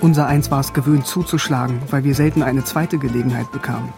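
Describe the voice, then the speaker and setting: An actor playing a bookish young East German philosopher in a radio drama, narrating and talking with wry, musing irony. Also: male voice, medium pitch, steady tone, fast talker, creaky, over music, close to an everyday voice.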